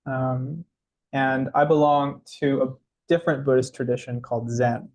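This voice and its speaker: A man's voice: mid-range voice, fairly even intonation, moderate pace, darkish tone. A young man who is a divinity student and part-time organizer welcomes a small group, chatting casually with fillers and small laughs. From Tennessee, US.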